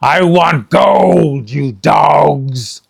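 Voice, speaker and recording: A man puts on a bullying pirate captain with a rough, gravelly growl. He drags his words out slowly and barks them loud, pitched a little above the reader's own voice. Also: exaggerated voice. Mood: gruff roaring. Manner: slow growling roar. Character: brutish greedy male pirate captain.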